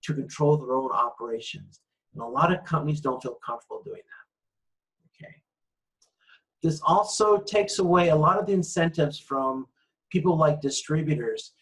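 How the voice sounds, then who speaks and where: Guy doing a casual slide lecture, voice a bit on the high side, explains stuff kinda haltingly. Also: rough recording.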